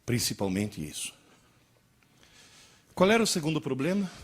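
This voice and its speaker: Animated husky man in his sixties. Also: fairly high pitch, normal speed. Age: 60s. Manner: animated, rhetorical questions, acts out dialogues. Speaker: lecturer. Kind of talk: lively public lecture to a live audience.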